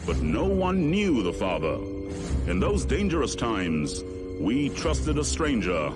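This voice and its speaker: An actor playing a solemn veteran rebel pilot, narrating a video game cutscene in a grave, deliberate, portentous way. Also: male voice, mid-range voice, big pitch swings. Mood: solemn, watchful. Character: solemn male war veteran pilot of rebel colonial fleet. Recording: voice under loud background music. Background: loud music.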